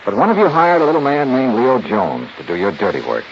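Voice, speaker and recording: Shrewd American radio detective, animated pitch. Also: male voice, fairly quick. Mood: accusing, confident. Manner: clipped, confident, probing. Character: cool, shrewd male insurance investigator who narrates. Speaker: lead detective and narrator. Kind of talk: 1950s radio drama, first-person narration. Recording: muffled, faintly noisy 1950s radio recording.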